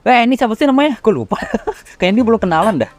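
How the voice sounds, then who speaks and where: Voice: medium pitch, animated, racing, dry recording. Slangy Indonesian game streamer over music. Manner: slangy, chuckling, talking to self. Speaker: gaming streamer. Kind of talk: casual gameplay commentary, reacting as they play.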